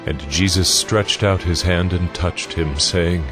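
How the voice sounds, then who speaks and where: Very deep male Bible narrator. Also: fairly even intonation, slow, over music.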